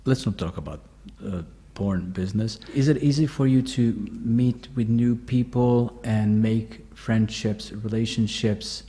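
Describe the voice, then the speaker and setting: A man's voice: on the low side, measured pace, hardly any pauses, dark tone. A man hosting a casual interview, asking loose questions full of fillers and restarts. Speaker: host.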